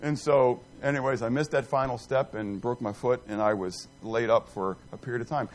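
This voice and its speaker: A middle-aged man teaching a Bible class in a rambling, self-deprecating, animated way, quizzing and joking with his group. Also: mid-range voice, normal speed.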